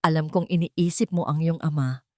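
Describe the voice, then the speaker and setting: A wise old mother , acted out by a woman narrator, speaks in a low, soft voice with calm understanding and quiet firmness. She sounds unhurried and gentle, as in an audio storybook drama, recorded dry. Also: no room sound, close to an everyday voice. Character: gentle, wise, steadfast elderly mother counselling her son.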